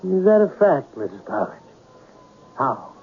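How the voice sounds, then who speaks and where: A leisurely, expressive male voice in a narrow radio recording. a proud, dreamy storyteller of a writer in a mystery drama, savoring each phrase theatrically. Faint hiss runs beneath. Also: fairly high pitch, husky, heavily acted. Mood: wry, hesitant. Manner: dramatic, deliberate, lingering phrases.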